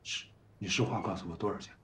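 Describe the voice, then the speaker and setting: A man's voice: mid-range voice, very animated, normal speed, slight background noise, natural voice. An older man acting in a TV drama as an exasperated, stubborn elderly husband who grumbles and repeats himself. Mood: coaxing. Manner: grumbling, repetitive, pleading. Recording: echoey room, faint background music.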